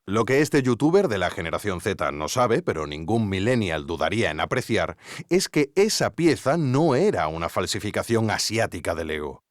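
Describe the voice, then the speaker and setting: Deep-voiced, animated Spanish investigator. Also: male voice, no room sound, clean studio sound. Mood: wry, intrigued. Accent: Spanish from Spain. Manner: ironic, conversational asides to the listener. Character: wry, curious male investigator narrating his obsessive search. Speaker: podcast host and narrator. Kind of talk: scripted investigative podcast narration. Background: music.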